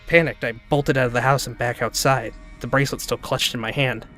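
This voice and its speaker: A man's voice: mid-range voice, steady tone, a little fast, a bit bright. A man giving a dramatic narrated reading of a spooky first-person story. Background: background music.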